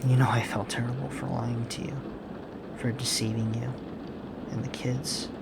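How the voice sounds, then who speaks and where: A man playing a gentle, guilt-ridden serial killer boyfriend and father in an intimate ASMR roleplay, speaking softly and hesitantly, with apologies. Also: mid-range voice, level tone, gravelly, heavy background noise, lightly acted. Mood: guilty, hesitant. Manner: hesitant, halting, apologetic, soft-spoken.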